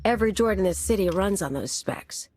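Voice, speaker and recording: A woman's voice: mid-range voice, expressive, fairly quick, a little mellow, dry recording, lightly acted. An actress playing a brash, cynical female anarchist bomber in a video game cutscene, talking in a clipped, tough, sardonic way. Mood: cocky, brisk.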